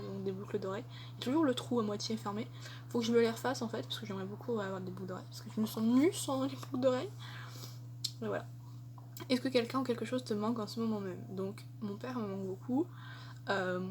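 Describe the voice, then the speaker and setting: A woman's voice: fairly high pitch, a little mellow, slight background noise. A young woman answering tag questions in a casual vlog, chatty and hesitant with many fillers. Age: young adult. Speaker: vlogger.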